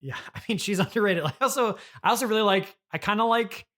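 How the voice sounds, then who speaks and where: A man in an improvised comedy chat, playing himself as interviewer, halting, hesitant and awkwardly polite, often repeating himself. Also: sits high, animated, a bit bright.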